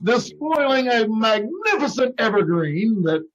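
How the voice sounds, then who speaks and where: A man acting a high-voiced theatrical neighbour. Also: takes it slowly, fairly bright tone, recorded from a distance. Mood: theatrically appalled. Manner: florid, theatrical, declaiming. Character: melodramatic, indignant neighbourly chum. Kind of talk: old-time radio comedy acting. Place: live video call performance. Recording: dull video-call audio.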